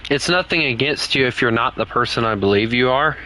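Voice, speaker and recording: A young man with a Southern US drawl streams a game and chats with the players he meets. His voice is bright and forward, and he rambles in a loose, candid way. A faint hiss sits under his talk. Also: medium pitch, a little fast, low-quality recording. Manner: rambling, candid, talks to other players while playing. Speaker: gaming streamer. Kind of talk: casual live-stream chat.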